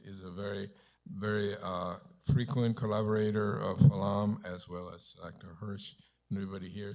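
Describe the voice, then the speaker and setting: A lower-voiced man moderates the panel. He introduces the next speaker with hesitations and fillers. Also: gravelly.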